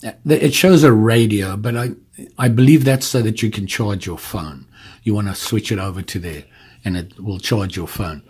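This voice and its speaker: A man with a fairly low, husky voice walks through a product in a casual, hands-on way. He explains each part step by step, informally, as he goes. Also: moderate pace, darkish tone. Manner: informal, explains step by step while handling the device. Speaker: product reviewer.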